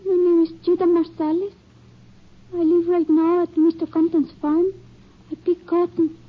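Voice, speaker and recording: Shy mexican-accented farm girl, really high voice, dull old radio sound. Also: level tone, moderate pace. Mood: wary. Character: young female cotton picker, shy and wary. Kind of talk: 1950s radio drama acting.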